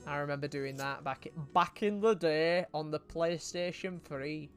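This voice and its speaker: A woman's voice: deep voice, normal speed, soft background music. A British woman streaming a game live, chatting casually, swearing and reacting to her chat. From United Kingdom.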